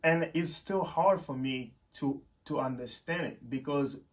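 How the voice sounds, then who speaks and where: A man's voice: medium pitch, measured pace. Man, casual vlogger. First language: French. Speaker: YouTube host and software developer. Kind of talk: casual explanatory vlog talk to viewers.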